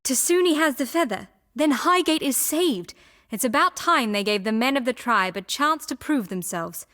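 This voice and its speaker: A sly, unflappable relic trader in a fantasy game. Her voice is fairly high, and she delivers lines with wry, teasing confidence. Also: animated, normal speed, sharp tone. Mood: sly, persuasive. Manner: wry, teasing, self-assured. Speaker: game character. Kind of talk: theatrical video game voice acting.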